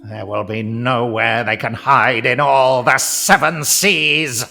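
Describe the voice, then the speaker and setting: Bright commanding undersea tyrant, slow and scornful, music underneath. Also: male voice, medium pitch, steady tone, gravelly, heavily acted. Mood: menacing. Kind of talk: audio drama acting.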